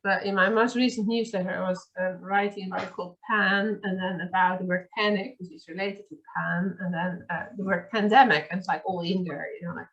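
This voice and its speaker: A woman's voice: medium pitch, fairly even intonation, brisk, hardly any pauses, a bit bright, highs cut off. A woman with a Dutch accent, a native Dutch speaker, hosting a casual conversation in an eager, chatty way with many fillers. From Netherlands. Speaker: host. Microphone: video call.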